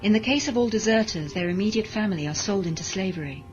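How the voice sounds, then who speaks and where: A woman's voice: medium pitch, animated, fast, natural voice. A woman playing an emotionless, modified cyborg servant in a science fiction audio drama, speaking in a formal, precise, deferential way. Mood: flat, detached. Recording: dull lo-fi audio drama recording, music behind.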